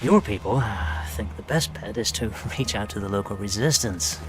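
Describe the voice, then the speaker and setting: A man playing a frank, wry American intelligence officer in a video game cutscene, with an American accent and a casual, measured manner. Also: lowish voice, soft background music, close to an everyday voice.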